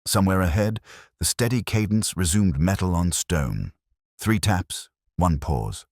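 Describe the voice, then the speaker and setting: Extremely deep-voiced male storyteller. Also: lively intonation, a little mellow, no echo, clean studio sound. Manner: measured, literary, solemn. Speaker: audiobook narrator. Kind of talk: scripted mystery story narration.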